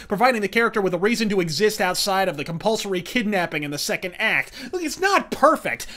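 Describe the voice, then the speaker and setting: A man delivers a scripted review as a show host. His voice sits high and swings widely in pitch, with a sharp, forceful edge. He is bombastic and profane, piling up florid wordplay. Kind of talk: scripted fast-worded review rant.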